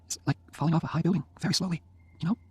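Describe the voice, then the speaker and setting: Brief: frail young woman on her deathbed, high and husky, teasing and stubborn, put on by a male reader. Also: fairly even intonation, very fast, dry recording. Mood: tender. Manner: soft and weak, flaring into sharp scolding.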